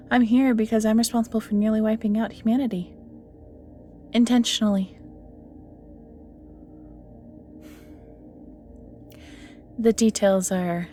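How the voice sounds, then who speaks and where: Woman acting ancient banished villainess, musing and breathy. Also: medium pitch, mellow, lightly acted. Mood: frank, ominous. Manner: musing, theatrical, often drops into breathy near-whispers. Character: ancient banished evil magical being, flirty and world-weary. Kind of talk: scripted audio roleplay acting, addressing the listener.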